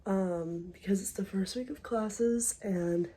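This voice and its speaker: A woman's voice: mid-range voice, takes it slowly, warm tone, breathy edge. A young woman, a college student, chatting casually to camera in a vlog, a bit congested and using lots of fillers. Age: young adult. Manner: chatty, filler-heavy, upbeat.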